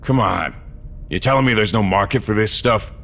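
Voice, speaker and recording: An actor playing a weary, stubborn old cyborg courier in a science-fiction audio drama, gruff, terse and dry. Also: male voice, lowish voice, normal speed, fairly bright tone. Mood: defensive, stubborn. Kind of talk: audio drama acting and first-person narration.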